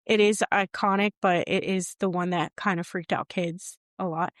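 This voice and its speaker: A woman's voice: fairly low pitch, steady tone, moderate pace, no echo, studio quality. A woman co-hosting a podcast, chatting casually with a rambling, hesitant way of talking and frequent laughs. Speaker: podcast co-host. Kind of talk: casual chat.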